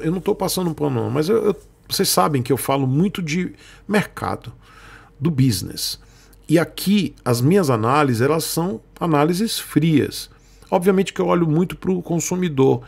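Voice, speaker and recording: A man's voice: on the high side, vocal fry, darkish tone. A man, hosting his motorcycle channel and explaining market news in a chatty way, often checking in with his viewers, from a small indoor room at a desk. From Northeast Brazil. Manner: chatty, explanatory, frequent "tá, gente?" asides. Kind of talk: casual market commentary.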